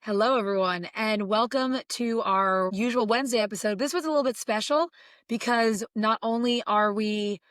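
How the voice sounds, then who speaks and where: A woman co-hosting a podcast, hoarse today, chatting excitedly about bands she loves. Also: a little high, no echo, studio quality. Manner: chatty, excited fan storytelling. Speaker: podcast co-host. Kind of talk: casual podcast chat.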